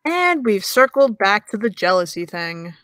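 A woman's voice: mid-range voice, very animated, a little slow, a bit bright, no echo. A young actress playing a sarcastic young woman who teases and needles in an audio drama. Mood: needling. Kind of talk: audio drama dialogue of a video call.

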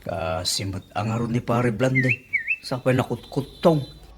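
A man acts out a middle-aged village father with an Ilocano accent, gossipy and quick to defend himself. He talks in animated, colloquial radio comedy style. The recording has clear background noise. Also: medium pitch, lightly acted. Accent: Filipino, Ilocano.

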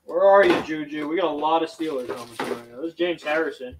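A man's voice: a little high, quick pace, background noise. A man in his thirties hosting a casual live auction stream from a small storage room, chatting and reading viewer comments aloud as he moves around, sometimes stepping away from the webcam mic. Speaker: auction livestream host. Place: small storage room full of shelves, indoors. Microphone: webcam mic at desk, sometimes farther away.

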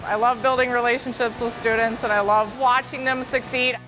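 A woman in her thirties, a welding instructor, explaining her program in an upbeat, clear way in a shop. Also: fairly high pitch, little pitch movement, brisk, rough recording. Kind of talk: promotional interview. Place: large welding shop, indoors. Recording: music bed underneath.

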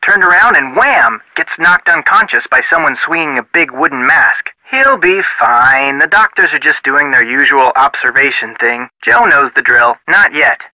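An actor playing a friendly young American amateur detective in a video game, casual, folksy and chatty. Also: male voice, fairly high pitch, expressive, moderate pace, hardly any pauses, bright, forceful tone, dry recording, low-quality recording. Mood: helpful, chatty.

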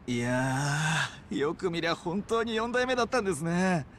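A man voicing a deferential, expressive yakuza gangster in a video game cutscene. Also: fairly high pitch, normal speed, slight background noise, close to an everyday voice. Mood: respectful, impressed.

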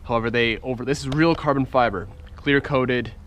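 A young man with a congested voice, chatting excitedly to viewers as he works on his car in a driveway, recorded on a head-mounted camera. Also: medium pitch, brisk. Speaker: car vlogger. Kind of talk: casual point-of-view vlog. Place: outdoors in a driveway, working in a car. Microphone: head-mounted action camera.

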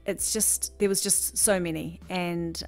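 A woman's voice: fairly low pitch, steady tone, measured pace. A woman in her thirties, a chatty vlogger talking over her shopping footage from her bedroom, gushing and rambling. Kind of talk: casual voice-over chat. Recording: music faintly behind.

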